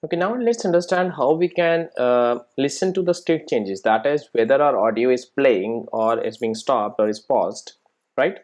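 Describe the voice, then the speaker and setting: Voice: mid-range voice, lively intonation, moderate pace, darkish tone. A programming instructor giving a casual step-by-step screen-recorded tutorial, often checking in with "right?" and "okay".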